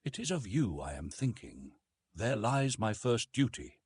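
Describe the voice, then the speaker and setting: A man voicing a young English lord, a formal and protective gentleman husband, in an audiobook story. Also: fairly low pitch, sing-song, measured pace, breathy edge, no room sound, full character voice. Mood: earnest.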